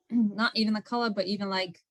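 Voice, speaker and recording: A woman's voice: medium pitch, steady tone, a little fast, no room sound. A woman in her twenties from Lithuania with a Lithuanian accent, answering interview questions in a thoughtful, slightly hesitant way. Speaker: guest.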